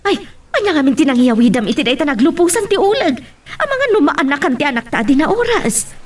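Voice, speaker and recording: Animated Ilocano village mother, slightly distant, acted by a woman. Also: high-pitched, fast talker. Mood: concerned. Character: middle-aged village mother and wife, practical, fussing. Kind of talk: Ilocano radio-style family drama acting. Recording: distant mic, faint background noise.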